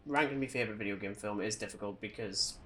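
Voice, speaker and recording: A man's voice: fairly low pitch, fast talker, sharp tone. A man co-hosting a podcast, chatting casually about films and games in a blunt, opinionated way. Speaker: podcast co-host. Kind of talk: casual film and game chat.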